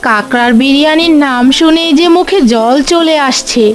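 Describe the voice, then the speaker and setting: A woman's voice: sits high, moderate pace, soft background music, lightly acted. A woman voicing an eager, admiring village customer in a cartoon fairy tale.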